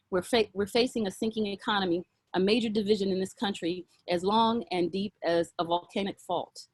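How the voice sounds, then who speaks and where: A woman sharing a personal reflection with a group on a video call, earnest and halting, with many fillers and restarts. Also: medium pitch, dry recording. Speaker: circle participant.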